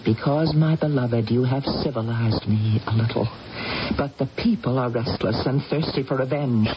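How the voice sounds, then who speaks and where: An actress playing a proud, grave warrior queen of ancient Britain in a radio drama, low and husky, deliberate and commanding. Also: noisy background. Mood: restless, resolute. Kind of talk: 1980s radio drama acting, first-person narration. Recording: dull radio recording, music behind.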